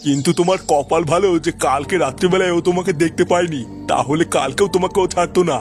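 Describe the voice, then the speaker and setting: Man playing a high-voiced, commanding village exorcist. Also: fast, close to an everyday voice. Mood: grave, authoritative. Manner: solemn, authoritative. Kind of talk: comedic cartoon dialogue. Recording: clear music behind.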